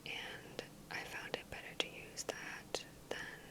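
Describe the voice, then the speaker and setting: A woman speaking entirely in a soft, breathy whisper, giving a measured, reflective ASMR talk as a gaming video creator.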